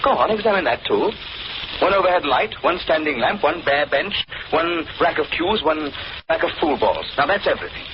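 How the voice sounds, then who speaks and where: An actor playing a suave, taunting villain in a radio thriller, politely mocking and imperious. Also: male voice, on the high side, animated, moderate pace, lightly acted. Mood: imperious, challenging. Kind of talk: old-time radio drama acting. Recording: noisy, muffled vintage radio recording.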